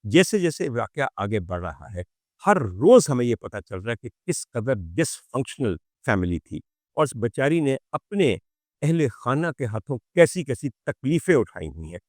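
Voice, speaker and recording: A man giving an opinionated commentary to viewers, indignant and sarcastic, using rhetorical questions and pauses for emphasis. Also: mid-range voice, big pitch swings, no echo, studio quality. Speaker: commentary show host.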